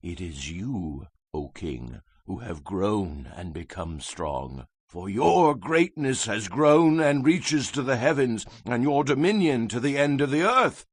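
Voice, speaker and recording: A man's voice: mid-range voice, sing-song, slow pace, slightly rough, dry recording, clean studio sound, heavily acted. A man playing an earnest, grave Hebrew prophet, solemn and oratorical, in a dramatized scripture reading. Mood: solemn warning.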